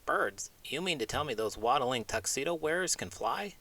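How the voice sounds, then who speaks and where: A man's voice: medium pitch, animated, normal speed, sharp tone. A man voicing a cheeky, boastful pig obsessed with food, wisecracking in a comic children's read-aloud skit. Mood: cheeky, skeptical. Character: cheeky hot-sauce-obsessed pet pig, brashly confident.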